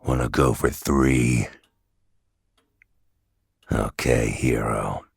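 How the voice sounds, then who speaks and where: A gruff, menacing mutant fighter for an audio drama. The voice is extremely deep and full of gravel. Each taunt comes slowly, in a close, dry recording. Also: male voice, fairly even intonation, no echo, full character voice. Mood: cool menace.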